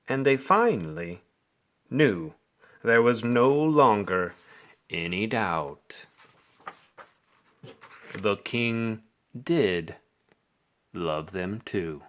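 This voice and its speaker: A man reading a children's bedtime story aloud, with expressive, theatrical phrasing and drawn-out words. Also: on the low side. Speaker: storybook reader.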